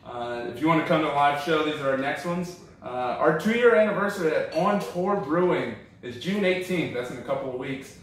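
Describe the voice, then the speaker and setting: A man hosting a comedy podcast, chatting casually while tasting beer, relaxed with lots of fillers. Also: on the high side, fairly quick, few pauses. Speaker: comedy show host. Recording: roomy echo, from a distance.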